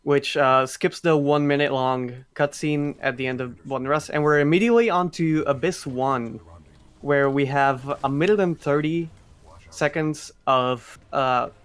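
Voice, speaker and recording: A man's voice: on the high side, a little slow, smooth. A man giving explanatory live commentary on a speedrun. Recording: faint background noise, live event stream.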